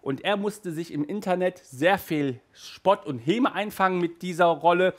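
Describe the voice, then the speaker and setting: A man in his thirties with a slightly raised voice, talking in a chatty, animated way as he reviews. He sits in a small room full of shelves and speaks into a headset mic. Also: high voice, normal speed. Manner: chatty and animated, gestures with hands. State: hot and sweaty in a stuffy room. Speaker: audio drama reviewer. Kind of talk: casual video review.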